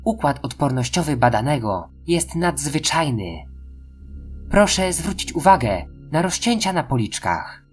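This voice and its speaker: Very low-voiced woman acting a formal female scientist. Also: sing-song, unhurried, soft background music. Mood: clinical, formal. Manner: formal, clinical. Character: female research scientist, deferential, clinical, reporting to superiors. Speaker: voice actress in audio drama. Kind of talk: acted scientific briefing in a Polish audio drama.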